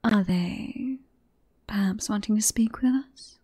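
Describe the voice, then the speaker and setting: Woman playing a husky, soft-voiced flirtatious queen. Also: mid-range voice, takes it slowly. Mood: tender, confident. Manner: breathy, flirtatious, formal courtly phrasing. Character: teasing, self-assured queen in love with her knight. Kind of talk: romantic fantasy audio roleplay, ASMR.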